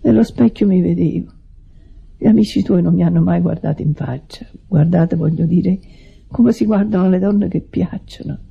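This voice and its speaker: An actress playing a devoted, lonely sister in an Italian radio drama, husky and earnest, with a hurt confessional manner. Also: female voice, lowish voice, normal speed, heavily acted. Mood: sad, confessional. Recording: dull vintage radio drama recording.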